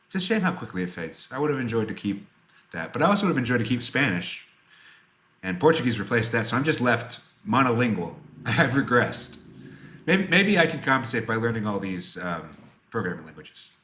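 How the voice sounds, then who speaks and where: Bright-voiced young man streaming in an echoey room. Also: mid-range voice, very animated, brisk. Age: 20s. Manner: rambling, self-deprecating. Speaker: game developer hosting a livestream Q&A. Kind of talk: casual livestream chat answering viewers.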